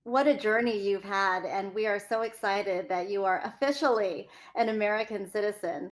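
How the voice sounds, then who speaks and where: A woman's voice: mid-range voice, fairly even intonation, moderate pace, poor audio. A woman from California leading an interview conversation, speaking in a polished, articulate way. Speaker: host.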